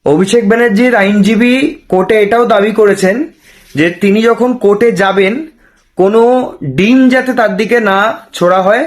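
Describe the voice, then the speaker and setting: A man's voice: high voice, steady tone, a little fast. A man with a West Bengal accent giving opinionated political commentary, challenging with rhetorical questions and dropping in English words. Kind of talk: opinionated news commentary to camera.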